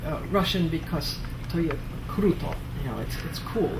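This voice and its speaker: Woman audience questioner, halting delivery, slightly low voice; noisy roomy hall. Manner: halting, restarting phrases. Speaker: audience member asking a question. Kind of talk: question from the floor.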